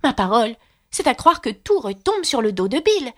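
A woman's voice: on the high side, very animated, normal speed, fairly bright tone, pitched above the performer's own voice. A woman voicing a plucky, curious young storybook girl, spirited and given to musing to herself. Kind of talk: storybook character reading.

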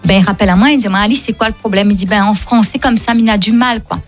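A woman from Réunion speaks French with a Réunionese accent, Creole being her first language. She tells stories in a lively, repetitive way, laughing often, with faint music behind her. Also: mid-range voice, rapid-fire. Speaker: comedian and guest. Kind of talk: casual storytelling interview.

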